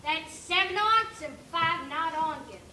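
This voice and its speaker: Procedural female pig jury leader stage actress, deliberate, distant echoey recording with noise. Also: extremely high pitch, animated, sharp tone, exaggerated voice. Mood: businesslike. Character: orderly pig jury leader taking votes. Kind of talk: amateur stage play acting.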